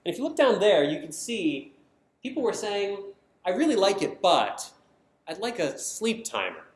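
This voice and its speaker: A man's voice: high voice, sing-song, normal speed. An American man giving a prepared conference presentation, explaining his points emphatically in short, punchy statements. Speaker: conference speaker, design team member. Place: indoor conference session room. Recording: roomy echo of a presentation space.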